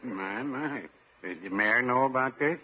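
An actor playing a mild, dry, gently needling small-town man with a deadpan manner in a 1950s radio comedy. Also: medium pitch, a little slow, lightly acted. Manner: deadpan, droll. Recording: muffled old radio recording, faint hiss.

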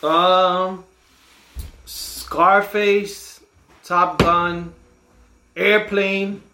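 A laid-back man co-hosts a casual chat, pausing to search for words and laughing easily. His voice sits a bit high, and it rings in an echoey room with a faint hiss behind it. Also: level tone. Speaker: podcast co-host. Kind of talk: casual chat over drinks.

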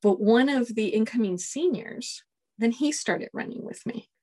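A woman in her forties whose first language is Spanish, a colonel telling stories in a casual interview, relaxed and chuckling often. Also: a little high, unhurried. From United States. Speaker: guest, Air Force colonel.

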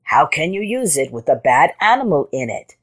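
A woman's voice: sits low, sing-song, moderate pace, fairly bright tone, heavily acted. Woman voicing a brash, swaggering male leopard. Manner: snorting, boastful. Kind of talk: animal folktale storytelling.

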